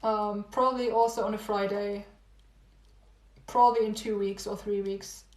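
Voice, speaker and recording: A young woman chatting casually with livestream viewers, rambling and repeating herself with little laughs, her voice going hoarse. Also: medium pitch, level tone, moderate pace, darkish tone. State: losing her voice. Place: home room. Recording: echoey room, phone livestream.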